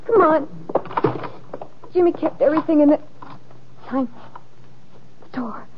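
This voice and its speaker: A woman's voice: high-pitched, unhurried, noisy background. An actress playing an anxious, earnest married woman in a 1940s radio drama, with a hesitant manner. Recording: muffled lo-fi old radio recording.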